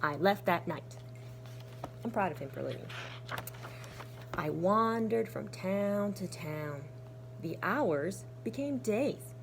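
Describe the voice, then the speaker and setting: A woman teacher reading to young children in an expressive, deliberate storytelling manner. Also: mid-range voice, background noise. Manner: animated, deliberate storytelling with hushed, breathy asides. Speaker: kindergarten teacher. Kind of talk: expressive children's storybook read-aloud with asides.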